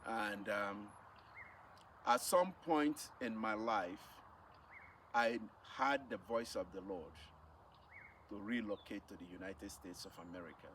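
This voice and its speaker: A man's voice: on the low side, a bit bright. A middle-aged man with a Nigerian accent tells a personal testimony as a missionary, speaking emphatically and repeating phrases for effect. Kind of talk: personal testimony, storytelling to camera. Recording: distant mic with faint background noise.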